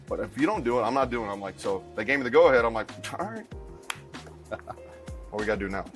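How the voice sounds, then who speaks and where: A man from Miami with a lowish voice talks casually as an interviewed bar owner. He is laid-back and slangy, like someone chatting with a fellow bartender. Soft music plays behind him in the bar. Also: big pitch swings, fast talker. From Miami, United States. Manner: laid-back, slangy, says dude and bro. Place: inside a bar.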